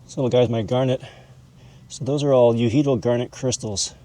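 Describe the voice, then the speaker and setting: A man's voice: medium pitch, fairly even intonation, fairly quick, mellow, a little hiss or hum. A man hosting an informal educational video, explaining and showing things in a folksy, conversational way. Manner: folksy, calls objects "this guy". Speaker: host, mineral hobbyist educator.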